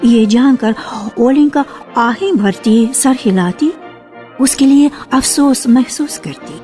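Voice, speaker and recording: A woman reading a literary story for radio in a measured, expressive narrating style, on an archival recording. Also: fairly high pitch, faint music behind. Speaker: narrator of a dramatized short story.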